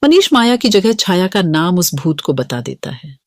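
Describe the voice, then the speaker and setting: A woman's voice: lowish voice, very animated, normal speed, no echo, clean studio sound. A woman reading scripted narration for a children's moral story as the narrator.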